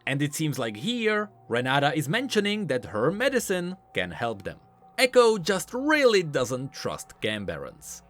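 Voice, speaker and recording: A man's voice: a little high, wildly swooping pitch, measured pace, fairly bright tone. A man giving scripted, explanatory commentary on video game lore in an emphatic way.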